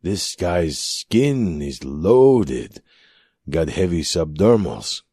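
Man acting out a sly old scrap hustler, wheedling, husky, low, audiobook story. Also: big pitch swings, very slow, mellow, no room sound, studio quality, exaggerated voice. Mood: coaxing. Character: shrewd old male scrap-meat hustler, coaxing.

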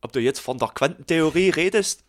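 A man's voice: a little high, lively intonation, brisk. A man chatting casually and with strong opinions about a film on a podcast. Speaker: podcast co-host. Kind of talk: casual film review chat.

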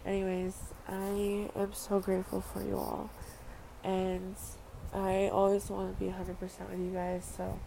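A woman's voice: mid-range voice, monotone, measured pace, creaky, mellow. A young woman from Western Samoa speaking earnestly in a personal vlog monologue, repeating phrases and using casual fillers. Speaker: vlogger. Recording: noisy background ambience.

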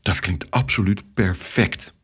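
A man plays an awkward, polite fellow in a children's audio story. He is nervous and earnest, speaking hesitantly in a husky voice. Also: low-pitched, takes it slowly, bright, forceful tone, exaggerated voice. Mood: pleased, grateful.

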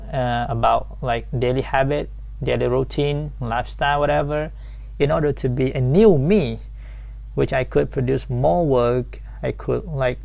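A man speaks as a podcast host, his voice gravelly with a low rasp. He talks in a casual, hesitant way, often pausing on fillers. His pitch sits in a middle range. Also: darkish tone. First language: Thai.

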